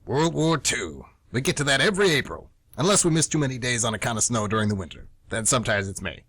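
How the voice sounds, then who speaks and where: A man's voice: mid-range voice, animated, quick pace, fairly bright tone, husky, no room sound, clean studio sound. A man playing a grumpy, old-school teacher near retirement, ranting emphatically in an amateur radio play. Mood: cynical, ranting.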